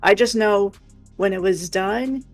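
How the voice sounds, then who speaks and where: Creaky low-pitched woman, chatting casually. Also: measured pace, soft background music. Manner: rambling, hesitant, thinking aloud. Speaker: co-host of an art livestream. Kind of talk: casual livestream chat.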